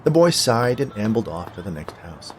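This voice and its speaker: Low-pitched male story narrator. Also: very animated, normal speed, slight background noise. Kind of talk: scripted short-story narration.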